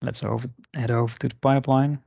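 A man narrating an unscripted screen-share software tutorial in an informal, explanatory way. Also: medium pitch, level tone, fast talker, creaky, dry recording, lo-fi sound. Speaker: software demonstrator. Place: indoor voice-over for screen recording.

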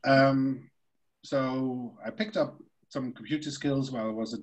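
A man from Switzerland, a podcast guest, telling the story of his career in a relaxed, rambling way. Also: mid-range voice, measured pace, low-quality recording. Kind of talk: conversational storytelling.

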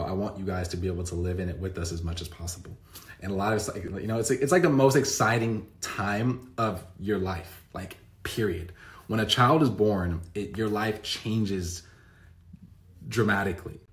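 A man in his thirties chatting casually to camera, answering viewer questions with lots of fillers and restarts, recorded close on a phone in a bright room at home. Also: low-pitched. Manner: rambling, restarts often, many "like" and "um" fillers.

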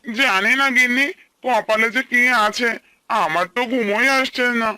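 A man's voice: very high voice, normal speed, bright, forceful tone, dry recording, clean studio sound, exaggerated voice. A man voicing an earnest, plaintive, humble farmer and worried husband in a cartoon. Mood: worried, pleading. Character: anxious humble young farmer and husband, pleading. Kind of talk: Bengali cartoon dialogue dubbing.

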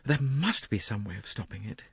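A man voicing an impatient, indignant wealthy young married woman with a sharp, agitated manner in an audiobook. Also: on the low side, lively intonation, normal speed, breathy edge, no echo. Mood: incredulous.

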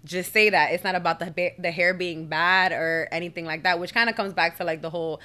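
A woman's voice: on the low side, fairly even intonation, a little fast, sharp tone. A young woman chatting casually as a guest on a podcast. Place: living room couch, indoors. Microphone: podcast microphone.

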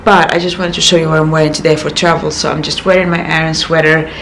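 A woman in her thirties, croaky from little sleep, chatting casually to a handheld camera in her small apartment, rambling with many fillers. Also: sits low, flat delivery, fast talker, a bit bright, a little hiss or hum, rough recording. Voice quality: gravelly. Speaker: vlogger. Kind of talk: casual vlog talk to camera. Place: small apartment bedroom, indoors. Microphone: handheld camera at arm's length.

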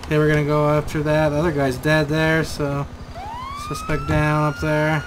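Guy doing casual police game commentary, slightly high voice, cop lingo and slang, loud sirens and engines behind him. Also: huge pitch swings, moderate pace. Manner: narrates own actions in police jargon and slang. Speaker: gaming video host. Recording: loud vehicle and siren noise. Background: game police sirens and vehicle sounds.

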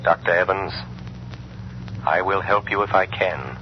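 Mysterious invisible crime fighter, deep slow bossy formal, hissy old 30s radio. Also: male voice. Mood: commanding, ominous. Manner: commanding, deliberate, formal. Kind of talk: 1930s radio acting. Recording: muffled, noisy 1930s radio recording.

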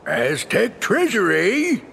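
A man voicing a big, bumbling cartoon bully with a gravelly, blustering delivery and folksy, uneducated grammar in a video game. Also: high-pitched, wildly swooping pitch, slow, fairly bright tone, a little hiss or hum, exaggerated voice. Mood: scheming. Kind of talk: cartoon villain game acting. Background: game music.

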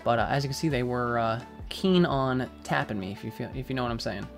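A man giving a relaxed, casual walkthrough of a game over gameplay, with frequent fillers. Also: medium pitch, a little fast, gravelly, faint music behind. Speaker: gaming guide creator.

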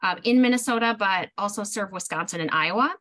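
A middle-aged woman, a co-op development specialist, speaking from home on a video call, apologetic and animated. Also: mid-range voice, a little fast. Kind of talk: casual video call introduction. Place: home, indoors.

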